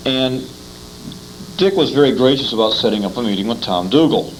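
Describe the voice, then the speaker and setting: An American man conducting a conversational interview, haltingly recounting details and repeating words as he goes. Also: mid-range voice, animated, a little hiss or hum, rough recording.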